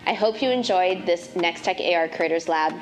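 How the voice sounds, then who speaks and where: A woman in her thirties hosting a casual cooking and tech vlog from her home kitchen, chatty and relaxed, with plenty of fillers. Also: lowish voice, normal speed, faint music behind, poor audio. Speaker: host and tech sales lead.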